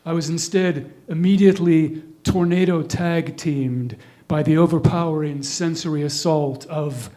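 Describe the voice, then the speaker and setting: Middle aged guy doing deadpan comedy monologue, really slow, kinda high voice. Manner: slow, deliberate, deadpan delivery. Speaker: comic monologue performer. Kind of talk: scripted comic monologue, ornate wording.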